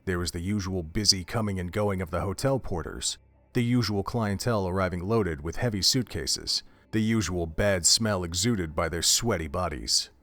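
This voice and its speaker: Measured, low-voiced male narrator. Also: fairly bright tone. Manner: measured, descriptive storytelling. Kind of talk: scripted audiobook narration.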